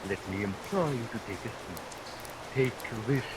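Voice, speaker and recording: A man's voice: mid-range voice, lively intonation, unhurried, lightly acted. A man playing a menacing, mocking villain in a vintage radio melodrama, mock-courteous and sardonic in a theatrical way. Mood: silky menace. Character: menacing, mocking male villain, silky and sadistic. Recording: very noisy vintage radio recording with rain.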